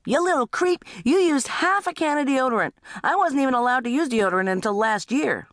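A woman's voice: sits high, expressive, fairly quick, a bit bright, no echo, studio quality, voice pushed up. A woman voicing an indignant teenage older brother in a comic story, whining and accusing in exaggerated complaint.

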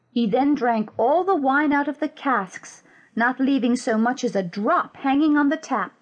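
High-voiced woman narrating a fairy tale. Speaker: audiobook narrator. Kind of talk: scripted fairy-tale storytelling.

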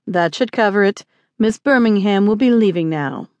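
A woman's voice: medium pitch, lively intonation, normal speed, darkish tone, lo-fi sound, close to an everyday voice. A stern frontier marshal as voiced by a woman narrator in an audiobook western. He gives orders firmly and with irritation, used to being obeyed. The sound is dry, without room echo. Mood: stern, ordering. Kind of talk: acted audiobook dialogue.

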